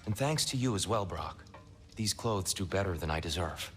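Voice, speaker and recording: A man playing a gentle, humble, towering god in a video game, speaking formally and courteously. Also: deep voice, expressive, moderate pace, soft background music. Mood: warm, grateful. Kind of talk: video game drama acting.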